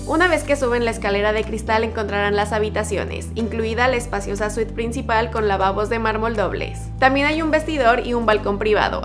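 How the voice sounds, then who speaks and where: Young female host, upbeat and chatty, slightly high voice, over music. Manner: upbeat, chatty, addressing viewers directly. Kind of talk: scripted celebrity video presentation. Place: studio with plain backdrop, voice over music.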